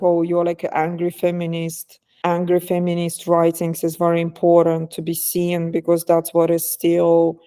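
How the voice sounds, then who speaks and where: Halting low-voiced woman. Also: dark tone, smooth. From Estonia. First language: Russian. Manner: hesitant, many fillers and restarts. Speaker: guest artist. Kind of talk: casual interview talk.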